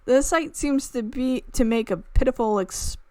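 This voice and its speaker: A woman's voice: high-pitched, moderate pace, darkish tone, no room sound. A woman game streamer reading a visual novel aloud, playfully stumbling over words and breaking into casual asides. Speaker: gaming channel host.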